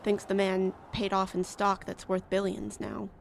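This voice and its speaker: A woman playing a sullen, defeated youngest daughter in a radio drama, speaking in terse, resigned phrases with a creaky voice. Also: mid-range voice, completely flat delivery, unhurried. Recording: faint outdoor roadside noise.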